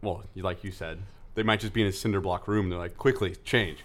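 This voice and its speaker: A man joining a casual podcast chat as a guest, laughing often and acting out scenes in squeaky voices. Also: steady tone, a little fast, a bit bright.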